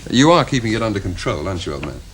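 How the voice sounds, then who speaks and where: An actor playing a breezy upper-class English officer, speaking in a jaunty, teasing way. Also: male voice, lowish voice, sing-song, rapid-fire, fairly bright tone, a little hiss or hum.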